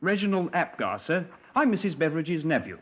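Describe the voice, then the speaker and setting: A nervous young man in a 1950s radio drama. He is very deferential, with a high voice for a man. The vintage recording is slightly muffled. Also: very animated, brisk. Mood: meek, nervous.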